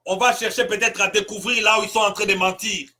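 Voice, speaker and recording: A man from Congo, a pastor, giving an impassioned political address full of rhetorical questions and bursts of shouting. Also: high-pitched, steady tone, fast talker. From Congo (DRC).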